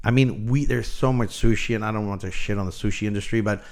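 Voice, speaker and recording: Male, middle-aged. Lower register. Opinionated chef guest, candid, anecdotal, conversational. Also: fairly even intonation, fast talker. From Canada. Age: middle-aged or older. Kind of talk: casual interview chat, anecdotal.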